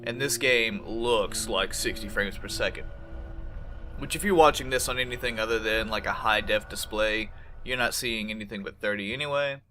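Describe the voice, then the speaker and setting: A man's voice: on the low side, normal speed, pushed and bright, soft background music. Stuffy-nosed, foul-mouthed gamer man. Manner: profane, joking, talks back to the game. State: congested. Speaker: gameplay commentator. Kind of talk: casual let's-play commentary.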